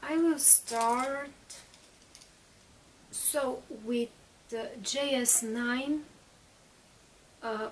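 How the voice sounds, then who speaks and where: Slow, chatty Bulgarian woman vlogger. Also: high voice, distant mic, a little hiss or hum, poor audio. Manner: chatty, unhurried, many fillers and restarts. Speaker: beauty vlogger. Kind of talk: makeup tutorial talk. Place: small bedroom, indoors.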